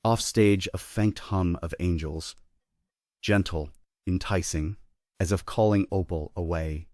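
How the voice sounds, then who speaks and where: Man, low pitch, scripted narration, stage directions, dry close sound, table read. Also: unhurried, darkish tone, no echo.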